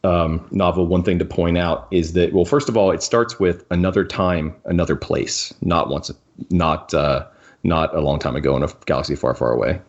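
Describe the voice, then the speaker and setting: Male podcast host, low-pitched, conversational and halting, slightly muffled lo-fi audio. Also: fairly even intonation. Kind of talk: casual discussion of books.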